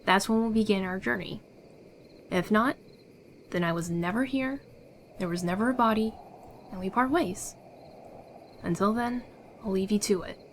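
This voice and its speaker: A woman's voice: medium pitch, normal speed, long pauses, slight background noise, lightly acted. A woman playing a confident, secretive smuggler in a fantasy ASMR roleplay, with an assured, persuasive, theatrical delivery. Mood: understanding, firm. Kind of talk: ASMR audio roleplay acting.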